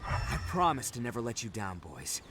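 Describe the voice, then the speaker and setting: An actor playing a brash, boisterous young gang boss who talks cocky and loud. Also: male voice, medium pitch, big pitch swings, normal speed, noisy background, lightly acted. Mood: earnest. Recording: game audio with music. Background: music.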